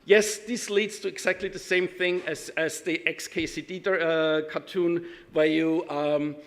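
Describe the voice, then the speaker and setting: A man from Austria with an Austrian German accent, giving a technical conference talk, halting with many ums and restarts, with dry self-deprecating humor. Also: on the high side, steady tone, a bit bright. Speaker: conference speaker.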